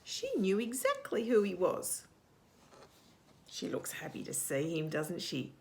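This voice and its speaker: A woman reading a picture book aloud to young children as a storytime host, expressive, with hushed asides and questions to the listener. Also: lowish voice. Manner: animated, expressive, asks questions and pauses for answers.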